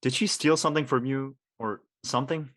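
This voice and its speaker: A man's voice: medium pitch, a little fast, fairly bright tone, natural voice. An actor playing a prying, contrarian teenage boy in casual, slangy drama dialogue. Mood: prying, incredulous.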